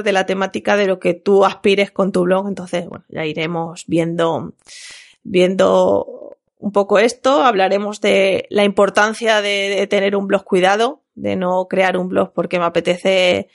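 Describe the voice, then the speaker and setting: A woman's voice: mid-range voice, gravelly. A woman from La Mancha with a half Extremaduran, half Andalusian accent, co-hosting a casual podcast chat, laughing often and restarting her sentences. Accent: Spanish, part Extremaduran, part Andalusian. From Castilla-La Mancha, Spain. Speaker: podcast co-host.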